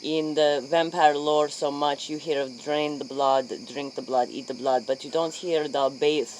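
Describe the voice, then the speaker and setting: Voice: medium pitch, steady tone, a little slow, lightly acted, rough recording. Performer acting an earnest interviewer in broken English. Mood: curious. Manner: clipped broken English, dropped articles. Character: earnest, curious late-night interviewer of vampires. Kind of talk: improvised spoof interview role play. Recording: faint noise, chirping insects.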